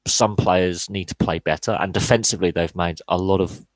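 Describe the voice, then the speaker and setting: A man's voice: deep voice, fairly even intonation, brisk, dry recording, low-quality recording. A man in his thirties hosting a live podcast Q&A, talking casually with many fillers and restarts. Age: 30s. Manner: rambling, frequent fillers and restarts. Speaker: podcast host.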